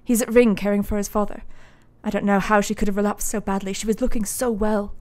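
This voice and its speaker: A woman's voice: medium pitch, level tone, fast, a little mellow, dry recording, lightly acted. A woman playing a grave, heartsick Victorian asylum doctor in a radio play, with formal, reflective delivery. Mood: concerned. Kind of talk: radio play acting, diary narration and dialogue.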